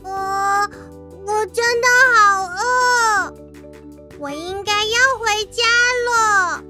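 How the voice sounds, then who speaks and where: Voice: extremely high pitch, extremely slow, loud music behind the voice, full character voice. An actor voicing a cute, stubborn baby shark in a Taiwanese Mandarin children's cartoon, childlike and prone to whining. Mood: cheerful. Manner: cute, childlike, whiny when refusing.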